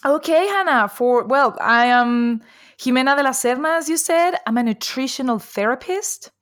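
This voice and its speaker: A woman's voice: high voice, lively intonation, normal speed, fairly bright tone, no echo, studio quality. A woman in her forties from Colombia, a native Spanish speaker with a mixed Colombian and Spanish-tinged accent, chatting as a podcast guest and laughing often. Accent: mixed Colombian and Spanish-influenced English. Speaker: guest, nutritional therapist. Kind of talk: casual podcast conversation.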